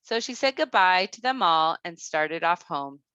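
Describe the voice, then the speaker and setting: Woman reading a kids fairy tale out loud, bright and expressive, super dry close recording. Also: lowish voice, a little slow, clean tone, no room sound, lo-fi sound. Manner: expressive, careful read-aloud. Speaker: storyteller. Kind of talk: children's story narration.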